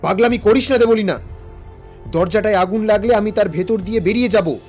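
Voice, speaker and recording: A man plays an older detective uncle in an audio drama, brave and protective. His voice sits somewhat high, and he speaks with grave, urgent authority. Faint music plays behind him. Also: brisk, a little mellow, natural voice. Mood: urgent, commanding. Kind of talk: Bengali audio story acting.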